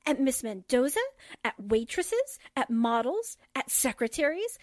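Catty, drawling high-voiced woman, played by an actress. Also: big pitch swings, a little slow, no room sound, studio quality. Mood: scornful. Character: disdainful, catty society woman at a party. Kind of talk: 1940s radio drama acting.

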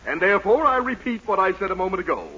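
A man's voice: high voice, animated, quick pace. An American man playing an officious, sarcastic mayor's secretary in a 1940s radio comedy, prim, dry and condescending. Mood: smug, officious. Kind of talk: 1940s radio sitcom acting. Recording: muffled, hissy 1940s radio recording.

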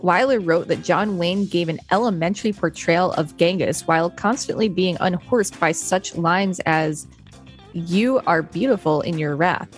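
A woman co-hosting a podcast, reading an article aloud in a steady, even way. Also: on the low side, normal speed, faint music behind. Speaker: podcast co-host.

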